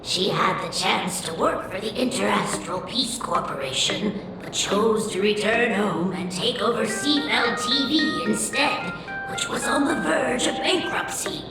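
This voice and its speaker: Slow-speaking kindly veteran schoolteacher in echo. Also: female voice, mid-range voice, expressive. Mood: wistful, reminiscing. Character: kindly longtime schoolteacher, reminiscing, protective of her former pupils. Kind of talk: video game voice acting. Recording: echoey long reverb, loud background music.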